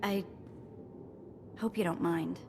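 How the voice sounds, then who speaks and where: Sassy thief in echoing space. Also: female voice, fairly low pitch, fairly even intonation, takes it slowly, creaky, background noise. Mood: warm, chatty. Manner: casual, slangy, teasing. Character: sassy, playful female thief, breezy and streetwise. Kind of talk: video game cutscene acting. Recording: long reverb, music behind.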